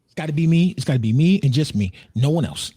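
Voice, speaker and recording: A man's voice: a little high, lively intonation, fast talker, warm tone, breathy edge, no echo. An American man in his thirties chatting casually as a co-host, joking, repeating himself and swearing freely. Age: 30s. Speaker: podcast co-host and streamer. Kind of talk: casual group chat.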